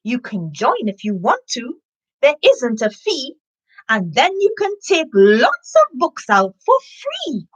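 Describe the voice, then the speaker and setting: A kindly, helpful children's storybook character, read aloud by a woman. The voice is fairly high and lilts up and down a lot. It explains things in a friendly, unhurried way. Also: wildly swooping pitch, slightly rough, exaggerated voice, poor audio. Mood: helpful, reassuring. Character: talking dog detective, helpful and kindly.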